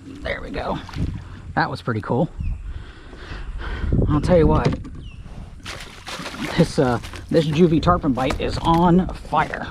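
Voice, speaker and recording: A man casually talking through a fishing trip from his kayak on a creek, relaxed and full of filler words, recorded on an action camera outdoors. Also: a little high, slow, pauses often. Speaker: fishing guide. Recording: outdoor camera mic, audible background noise.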